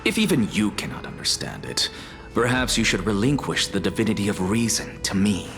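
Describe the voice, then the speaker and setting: An actor playing a proud, defiant one-eyed young male scholar in a game, speaking softly and often breathily. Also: fairly low pitch, big pitch swings, fairly quick, creaky. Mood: needling. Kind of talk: game voice acting. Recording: roomy echo with music behind.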